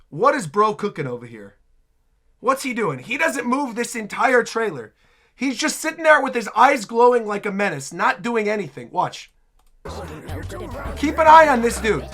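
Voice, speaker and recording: A man hosting a reaction video, chatting casually and excitably as he comments. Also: high voice.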